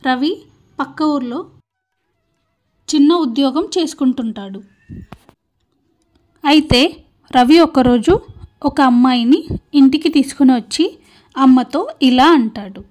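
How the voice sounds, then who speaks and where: A woman with a high voice tells a cartoon moral story. She reads it as scripted narration, in the role of storyteller. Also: takes it slowly, long pauses, darkish tone.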